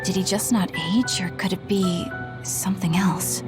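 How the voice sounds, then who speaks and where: Woman acting a determined young soldier, mid-pitched. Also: fairly even intonation, moderate pace, music in the background, close to an everyday voice. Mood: serious, pressing. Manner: direct, earnest, insistent. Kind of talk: dramatic video game acting.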